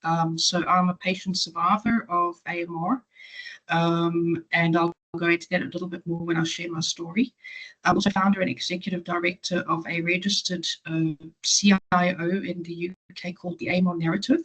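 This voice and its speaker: A woman's voice: lowish voice, level tone, normal speed, fairly bright tone, breathy edge, rough recording. A woman in her forties with a South African accent, a patient advocate giving a matter-of-fact webinar talk over a video call, with frequent ums. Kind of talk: webinar presentation.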